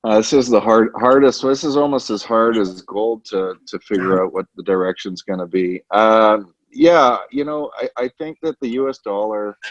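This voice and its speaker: A man giving unscripted market commentary as a portfolio manager, haltingly, repeating words as he thinks aloud on a conference call. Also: lowish voice, steady tone. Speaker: portfolio manager, currency specialist. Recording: dull, roomy conference call line.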